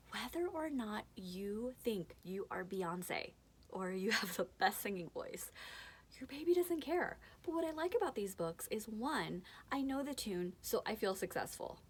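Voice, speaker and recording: A woman in her thirties speaks as a parent sharing practical advice in an informal instructional style. Her delivery is chatty and expressive, and she likes to act out little lines as she explains. Her voice sits at an average pitch for a woman. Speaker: parent giving book tips. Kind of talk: informal instructional talk to camera.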